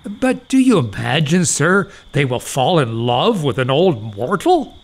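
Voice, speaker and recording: A man plays a gullible old doctor in a theatrical farce. His fairly high voice swoops widely and lingers slowly over rapturous exclamations, pompous yet humbly deferential. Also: big pitch swings, exaggerated voice. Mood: deferential, eager. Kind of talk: theatrical farce acting in period English.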